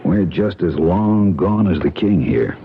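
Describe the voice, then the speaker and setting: A man's voice: low voice, lively intonation, unhurried. An actor playing a tough male government agent in a 1970s radio drama, dry and matter-of-fact. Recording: dull, faintly hissy old radio broadcast.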